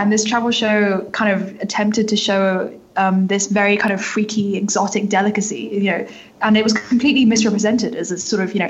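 A woman's voice: mid-range voice, slightly rough. A woman journalist explaining things as an interview guest, hedging often and leaning on filler words as she goes. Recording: dull, lo-fi audio.

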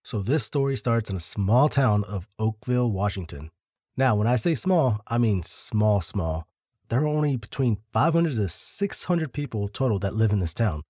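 A man co-hosting a podcast, telling a story in a laid-back, deliberate way with dry jokes, a little short of breath. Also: lowish voice, animated, mellow, husky, dry recording. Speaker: podcast co-host.

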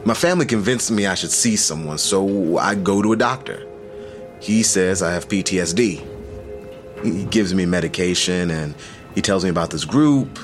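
A reserved male survivor in an audio drama, with a deep voice over prominent music. He talks plainly and a little hesitantly, like someone confiding on a first date. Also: lively intonation, moderate pace. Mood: confiding, troubled. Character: reserved male trauma survivor working in game design. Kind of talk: naturalistic audio drama dialogue.

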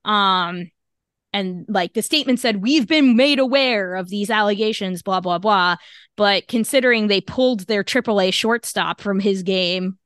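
Measured woman podcaster, dry close sound. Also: mid-range voice, fairly bright tone, no echo. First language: English. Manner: halting, many fillers and restarts, measured. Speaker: podcast co-host. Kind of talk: casual podcast discussion.

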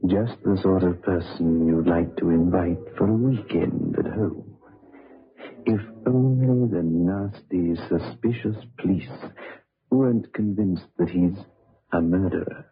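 A man narrating a scripted old-time radio drama in a deliberate, measured delivery. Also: sits low, slightly rough, exaggerated voice. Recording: muffled old radio recording, music behind.

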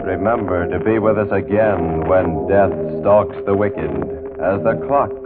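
An actor playing a sinister horror announcer, dramatic and drawn-out in 1940s radio style. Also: male voice, low voice, fairly even intonation. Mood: ominous. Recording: echoey muffled 1940s radio, music behind.

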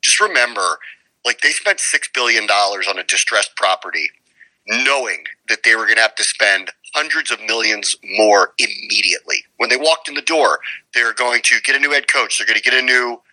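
Man talking sports with a forceful, bright voice. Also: lowish voice, expressive, moderate pace, poor audio. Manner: emphatic, opinionated, uses rhetorical questions. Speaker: guest sports radio play-by-play announcer. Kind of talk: conversational sports commentary.

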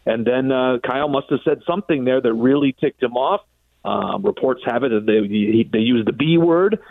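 A man's voice: medium pitch, normal speed, vocal fry. American guy calling into sports radio, phone sound, rambly detailed storyteller, no room echo. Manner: long-winded, detailed storyteller. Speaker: sports broadcaster interviewed as guest. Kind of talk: conversational sports radio commentary. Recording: phone-like narrow band, dry.

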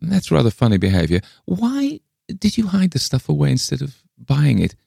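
A man voicing a brisk, practical woman visitor in a storybook reading, cheerful, insistent and coaxing in a matter-of-fact way. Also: on the high side, huge pitch swings, gravelly, dark tone, husky, dry recording, clean studio sound, voice pushed up, heavily acted. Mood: brisk, puzzled.

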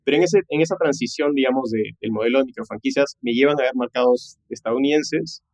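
A man talks at a rapid clip in a mid-range voice. He recounts his working life as a podcast guest, conversationally, mixing in English business terms and checking in with short tag questions. The sound is dry, with very little room. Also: mid-range voice, a little mellow, no echo. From Peru. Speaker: podcast guest, tech entrepreneur. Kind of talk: conversational career storytelling in an interview.

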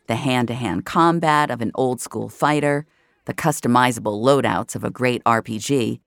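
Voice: mid-range voice, gravelly, no room sound, clean studio sound. An actor playing a gamer who narrates life in a virtual world in an audio drama, confiding and conversational. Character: Jamie, self-aware online gamer narrating life in a VR world. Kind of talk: first-person scripted narration in an audio drama.

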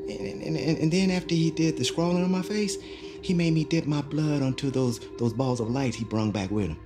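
A man playing a folksy, wide-eyed country fellow in an audio drama, with rustic, halting, excitable speech. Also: fairly high pitch, natural voice. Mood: breathless wonder. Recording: music behind the voice.

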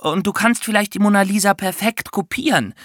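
A woman's voice: medium pitch, brisk. A woman co-hosting an educational podcast for children, explaining step by step in a conversational way.

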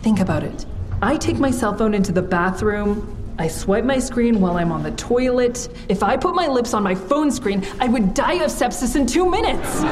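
A woman's voice: on the high side, natural voice. An actress playing a guarded, wry woman who narrates her life in a first-person audio drama with dry humor. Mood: wry, comic.

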